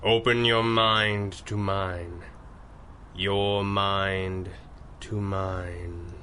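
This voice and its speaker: An actor playing a stern masked vigilante in radio adventure style, speaking in formal, commanding phrases. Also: male voice, deep voice, flat delivery, extremely slow, pushed and bright, slight background noise, full character voice. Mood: stern, menacing. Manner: commanding, formal, clipped interrogation.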